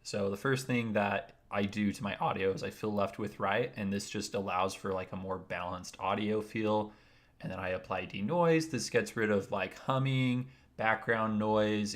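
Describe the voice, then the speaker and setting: Deep-voiced man rambling through a tutorial. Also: normal speed. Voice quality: naturally deep voice. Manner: rambling, explains step by step. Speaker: streamer. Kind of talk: casual livestream tutorial.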